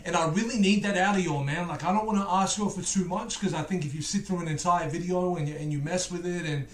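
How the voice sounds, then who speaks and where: A man's voice: sits high, fast, roomy sound, slight background noise. A man from England with an Australian accent, talking casually to viewers in a music reaction video, chatty and joking with rambling asides.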